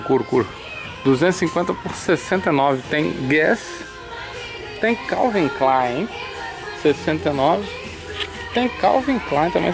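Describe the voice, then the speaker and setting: A man from Brazil giving an upbeat, chatty tour of outlet stores for his vlog, filmed on a handheld camera. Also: fairly high pitch. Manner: chatty, upbeat, pointing things out. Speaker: vlogger. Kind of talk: casual shopping vlog.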